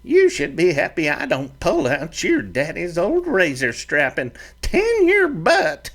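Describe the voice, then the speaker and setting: A man voicing a small, fiery-tempered ranch mother who scolds and bosses her grown son, with a sharp, high put-on voice. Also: wildly swooping pitch, slow, heavily acted. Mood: scolding.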